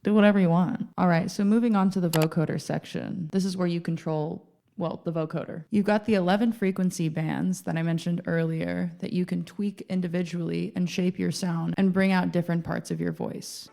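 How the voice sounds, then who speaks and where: A woman's voice: on the low side, steady tone, brisk, creaky, mellow. A woman hosting a casual tutorial, talking in an informal, chatty way with playful asides. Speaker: video host and music gear reviewer.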